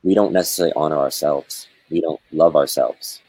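A man with a very deep, somewhat husky voice talks in a slow, searching way, pausing often as he reflects. The sound is close and dry, with no room around it. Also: a little mellow, no room sound, poor audio. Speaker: spiritual guest. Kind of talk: reflective spiritual conversation.

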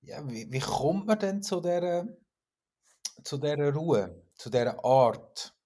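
A man with a Swiss German accent, co-hosting a podcast and telling stories in a lively way, with frequent stumbles. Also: on the high side, takes it slowly. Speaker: podcast co-host. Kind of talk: casual chat.

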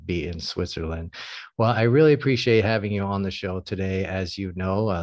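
A man hosts a podcast in a casual, earnest way, padding his sentences with ums and uhs. His voice sits low. The sound is dry and close, with no room echo. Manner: frequent filler words, earnest and plain-spoken. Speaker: podcast host. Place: America.

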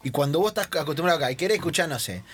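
A man with an Argentine accent hosting a radio football show, chatting casually with quick, colloquial asides. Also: fairly high pitch, lively intonation, fairly bright tone, breathy edge. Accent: Argentine Spanish. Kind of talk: casual radio interview chat.

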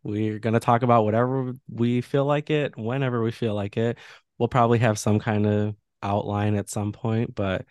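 A man's voice: fairly low pitch, steady tone, normal speed, darkish tone, no echo. A young man co-hosting a podcast over a video call, congested, chatting casually and joking with lots of fillers. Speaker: podcast co-host. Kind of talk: casual chat.

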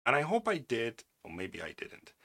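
A man's voice: mid-range voice, huge pitch swings, normal speed, fairly bright tone. A man hosting his own podcast, reading a news article aloud and adding wry, opinionated asides with frequent restarts. English is not his first language. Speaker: podcast host.

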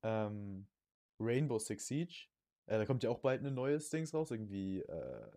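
A young man co-hosting a podcast in casual chat, using youth slang and often halting to search for words. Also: lowish voice, very animated, vocal fry, dark tone, no echo. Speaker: podcast co-host.

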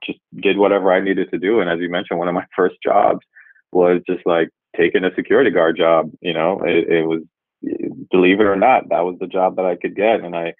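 A man in his forties from Jamaica, a guest speaking in a measured, thoughtful way and often pausing to rephrase. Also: sits low, level tone, no echo. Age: 40s. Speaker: guest, revenue executive. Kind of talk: reflective interview answers. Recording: tinny phone-like line, dry.

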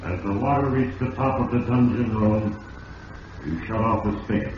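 An actor playing an all-knowing narrator of fate in an old radio drama, grave, deliberate and accusing. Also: male voice, lowish voice, lightly acted. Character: ominous all-knowing narrator addressing the wrongdoer. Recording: muffled, distant, echoing, noisy vintage radio recording.

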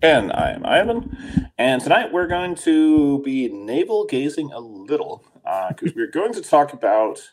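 A man's voice: medium pitch, very animated, measured pace, poor audio. A man in his forties from Denmark, co-hosting a podcast in casual chat, rambling with many fillers and restarts. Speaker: podcast co-host.

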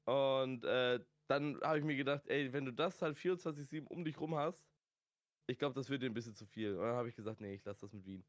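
A man's voice: mid-range voice, fairly quick, no room sound, studio quality. A man chatting casually and telling slangy anecdotes as a podcast guest. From northern Germany. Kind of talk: casual chat.

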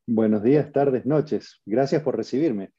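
Man, middle-aged, Argentine accent, guest, wry, very dark low voice, dry muffled sound. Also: a little slow, husky. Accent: Argentine Spanish. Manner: wry, self-deprecating. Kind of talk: casual interview chat.

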